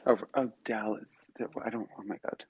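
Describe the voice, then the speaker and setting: A man's voice: on the low side, steady tone, fairly quick, husky, dry recording. A young man from Miami hosting a casual live audio chat, upbeat and chatty, with many fillers. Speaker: host.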